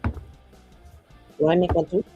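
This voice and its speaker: A woman's voice: very slow, dark tone, highs cut off, faint music behind. A woman chatting and narrating casually as a player in a tabletop roleplaying game, informal and playful.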